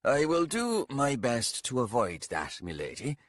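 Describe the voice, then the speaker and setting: A man voicing an old, bent castellan who speaks low, steady and patient. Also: measured pace, no echo, clean studio sound. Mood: dry.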